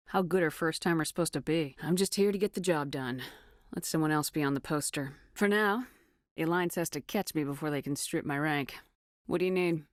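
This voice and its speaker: Low-voiced, commanding military woman, voiced by an actress. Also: no echo, studio quality, lightly acted. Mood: wry, determined. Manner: firm, clipped, authoritative, dryly wry. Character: tough, commanding female military officer, dry and resolute. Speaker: starship commander in a science fiction game. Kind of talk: video game voice acting, dramatic dialogue.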